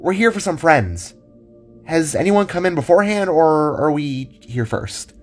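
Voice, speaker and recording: A man acts out a giddy young wife for an audio drama, pitched high and swooping with urgency. She is bossy yet affectionate, squealing and exclaiming. Music plays behind the voice. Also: sing-song, a little slow. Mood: polite, eager. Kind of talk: audio drama character acting.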